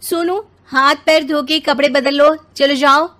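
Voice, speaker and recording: A woman voicing an irritated, bossy young mother and wife who scolds and retorts sharply in an animated family story. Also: high voice, a little slow, voice pushed up. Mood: brisk, instructing.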